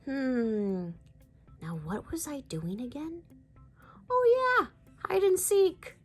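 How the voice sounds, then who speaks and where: A woman acts out a playful little girl puppy from a storybook, about six years old. The voice is high and dramatic, swooping around with excitable energy. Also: takes it slowly, faint music behind, heavily acted. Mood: puzzled, then gleeful. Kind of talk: storybook character voice.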